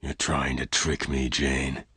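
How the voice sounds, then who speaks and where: A man voicing a calm, blunt male gunfighter who speaks low, hushed and clipped. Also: slow pace, slightly rough, dry recording, exaggerated voice.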